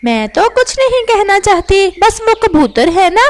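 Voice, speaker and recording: A woman's voice: very high voice, big pitch swings, a little slow, no echo, heavily acted. A woman voicing a sly, gossipy female crow with a falsely sweet manner in a children's cartoon story. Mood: falsely concerned. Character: sly, scheming female crow stirring up quarrels. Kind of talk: animated children's moral story dialogue.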